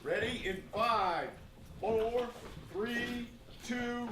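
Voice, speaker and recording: An actor playing a flustered stage manager and announcer in a live stage radio play. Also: male voice, extremely high pitch, very slow, exaggerated voice. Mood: brisk announcing. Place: theatre stage, live performance. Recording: roomy distant stage pickup, noisy.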